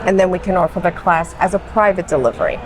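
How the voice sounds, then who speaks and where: A woman's voice: on the low side, soft background music, low-quality recording. A woman in her forties from Colombia, raised in New York, with a Long Island accent, talking casually as an interview guest and readily agreeing. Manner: friendly, agreeable, quick affirmations. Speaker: guest, education manager.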